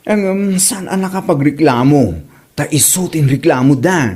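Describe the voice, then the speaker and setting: A man's voice: fairly high pitch, normal speed, a little mellow, slightly rough. Man acting as Ilocano village gossip. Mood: reporting. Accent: Filipino Ilocano. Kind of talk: amateur comedy radio-style drama.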